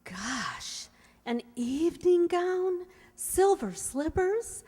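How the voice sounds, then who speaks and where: An actress plays a weary, wisecracking young working woman in a radio comedy. Her voice is high and swoops widely at a slow pace, recorded in a reverberant hall. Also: big pitch swings, dark tone, full character voice. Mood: shy, delighted. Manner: wry, exclamatory. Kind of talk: old-time radio comedy acting. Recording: echoey hall, audible background noise.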